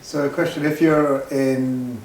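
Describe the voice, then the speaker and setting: A man's voice: mid-range voice, fairly even intonation, a little slow. A man casually putting a practical question as an attendee at an informal session. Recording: echoey room, clear background noise.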